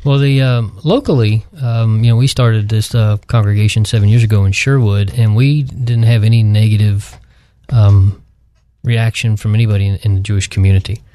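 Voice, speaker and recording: Man, panel guest, deep-leaning mellow voice, dry sound, hesitant measured explanations, conversational. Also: steady tone, no room sound. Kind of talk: conversational religious discussion.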